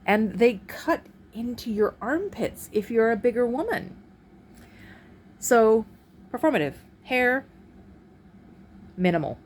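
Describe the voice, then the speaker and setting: A woman with a deep voice talking candidly in a personal vlog, frank and halting, with pauses and restarts, recorded at home on a phone camera. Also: expressive, a little hiss or hum. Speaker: vlogger. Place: at home, indoors. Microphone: phone camera, recorded in portrait mode.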